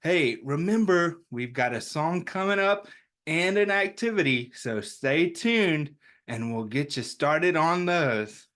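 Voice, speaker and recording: A man's voice: a little high, lively intonation, takes it slowly, a bit bright. A man hosting a story time, talking to young children in simple, encouraging, chatty language. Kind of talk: read-aloud and chatty address to young children.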